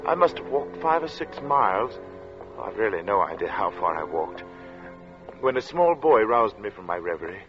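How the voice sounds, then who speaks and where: An actor playing a brooding, haunted artist who narrates his story in a deliberate, dramatic 1940s radio style. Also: fairly low pitch, big pitch swings. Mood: brooding, dreamy. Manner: deliberate, dramatic, with weighted pauses. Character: male artist recounting a strange, oppressive hot day, brooding and haunted. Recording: dull vintage radio recording with loud music.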